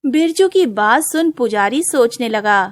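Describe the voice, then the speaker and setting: A woman reads a scripted animated story as its narrator. Her voice is a little higher than most women's. She tells the tale in storyteller fashion. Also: measured pace, no echo, studio quality.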